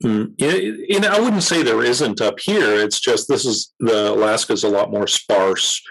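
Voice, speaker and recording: A man with a somewhat low-pitched voice, speaking as a guest in a casual interview. He explains things informally, in the manner of someone who knows the technical side. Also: unhurried, vocal fry, a bit bright, husky, poor audio. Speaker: interview guest, military auxiliary radio commander.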